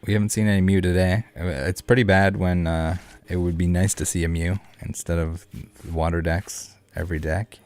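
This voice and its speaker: A man's voice: deep voice, level tone, normal speed, hardly any pauses, darkish tone. A man hosting a card game live stream, chatting casually with viewers in a rambling, joking, laid-back way. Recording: music playing behind the voice. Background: background music.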